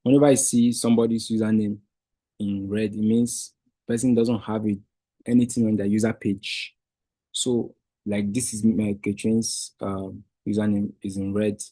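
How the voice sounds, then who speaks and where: Male trainer, native Igbo speaker, husky mellow voice, dry close sound, patient tutorial delivery. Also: fairly low pitch, little pitch movement, moderate pace, no echo, poor audio. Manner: patient step-by-step explaining with restarts. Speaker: Wikidata trainer. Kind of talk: screen-share tutorial.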